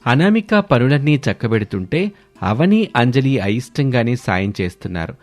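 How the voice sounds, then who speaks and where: A man's voice: mid-range voice, very animated, normal speed, faint music behind. A man reading scripted narration for a moral family story.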